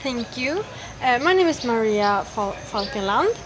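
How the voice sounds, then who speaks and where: A woman from Sweden answers interview questions in a bright, high voice, friendly but a little halting as she goes. Faint background noise sits behind her. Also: very animated, poor audio. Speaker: youth worker, guest.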